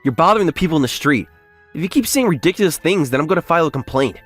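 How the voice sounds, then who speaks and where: A man playing an earnest young factory worker in a manga dub, polite but indignant when wronged, with an expressive, emphatic delivery. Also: a little high, rapid-fire, soft background music. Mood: stern, annoyed. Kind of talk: anime-style manga dub acting with first-person narration.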